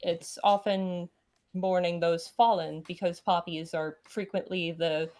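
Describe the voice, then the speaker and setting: A woman with a slightly low voice who talks very slowly, pausing between short phrases. She co-hosts a visual novel read-along, offering casual explanations and reading lines aloud. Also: flat delivery, darkish tone.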